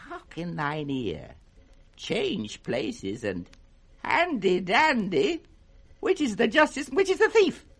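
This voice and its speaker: A mad old British king in a 1960s radio Shakespeare play. He declaims slowly and grandly, lurching between roaring scorn and hushed brooding. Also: high voice, huge pitch swings, slightly rough, full character voice. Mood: scornful, ranting.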